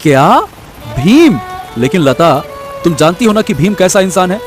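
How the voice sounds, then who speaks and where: A man's voice: high voice, big pitch swings, a little slow, faint music behind. A man voicing a poor, earnest and anxious young woodcutter in a cartoon story, speaking hesitantly. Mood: worried. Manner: hesitant, stammering, pleading. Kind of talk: animated story voice acting.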